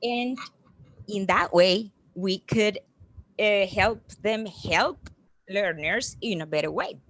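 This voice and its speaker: A woman speaking earnestly and deliberately in an online meeting, halting between words as she builds her sentences. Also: mid-range voice, a bit bright, dry recording. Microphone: online video call.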